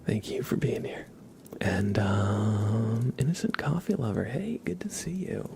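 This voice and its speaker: A man hosting a casual ASMR livestream, chatting in a breathy, gentle, unhurried whisper and softly repeating phrases. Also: lowish voice, big pitch swings, a little hiss or hum. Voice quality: breathy whisper with creaky edges. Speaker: live streamer, ASMR host.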